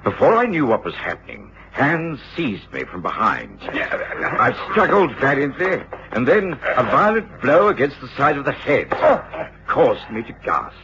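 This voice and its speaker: An actor playing a British gentleman doctor who narrates his own misadventure in a measured, formal way for radio drama. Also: medium pitch, wildly swooping pitch, background noise. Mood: dramatic, tense. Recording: dull old radio recording.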